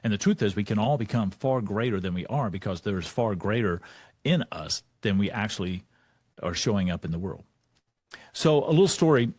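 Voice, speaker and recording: A man's voice: on the low side, no room sound, studio quality. A man, telling a motivational story as a speaker and coach over an online call. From Texas. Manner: lively storyteller, animated, pausing to check notes.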